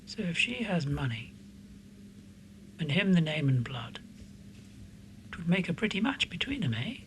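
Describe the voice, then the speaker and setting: A man's voice: on the high side, sing-song, normal speed, poor audio. A man playing a sly, scheming, weather-beaten older man in a period audio drama. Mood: sly, probing. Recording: clear background noise and ambience.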